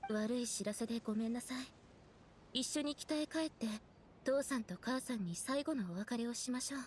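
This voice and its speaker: An actress playing a solemn, gentle elder sister in a fantasy game, speaking in a measured, grave way. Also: female voice, a little high, slight background noise, close to an everyday voice. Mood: solemn, resigned. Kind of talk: Japanese game voice acting.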